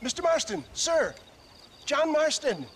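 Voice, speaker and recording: A man's voice: very high voice, big pitch swings, unhurried, fairly bright tone, slight background noise, heavily acted. An actor playing an aging, pompous snake-oil salesman in a western game, florid, theatrical and wheedling. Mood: flustered pleading. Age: older. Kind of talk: theatrical video game acting.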